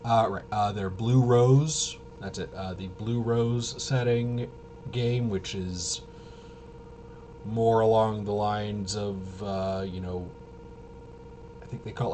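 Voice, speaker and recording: A man's voice: fairly low pitch, fairly even intonation, slow pace, a little mellow. A man giving a casual, unscripted game walkthrough in a hesitant, rambling way, often trailing off and correcting himself. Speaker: tabletop game reviewer and presenter. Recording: clearly noisy room ambience.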